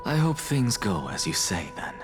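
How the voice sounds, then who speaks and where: A man's voice: on the low side, sing-song, moderate pace, music in the background. An actor playing a proud, sardonic male scholar in a video game, dying but stubborn, with a dry, dismissive, theatrical delivery. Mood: wry, measured. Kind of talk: English video game voice acting.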